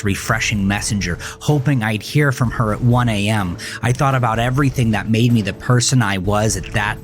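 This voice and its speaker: A man narrating a scripted first-person horror story in a dramatic, deliberate way with drawn-out pauses. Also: lowish voice. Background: background music.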